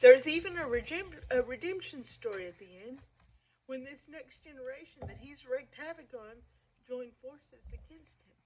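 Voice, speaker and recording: Woman, raised pitch, informal literary talk, emphatic and opinionated, halting delivery, muffled sound. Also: expressive, darkish tone, a little hiss or hum. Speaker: reader and commentator. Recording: dull, muffled lo-fi recording.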